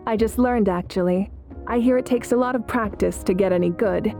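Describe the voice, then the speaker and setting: A woman acts a calm commander in a video game, with a mid-pitched female voice. She sounds assured and measured, steadfast yet encouraging, over clearly audible music. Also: lightly acted. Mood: relaxed, reflective. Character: resolute, composed female war leader, calm and encouraging. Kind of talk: video game character acting.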